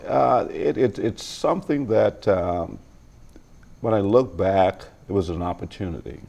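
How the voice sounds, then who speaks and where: Deep gravelly Bahamian man. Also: unhurried, dark tone. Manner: hesitant with many ums, breaks into hearty laughs. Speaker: interview guest, attorney. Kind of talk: relaxed interview conversation.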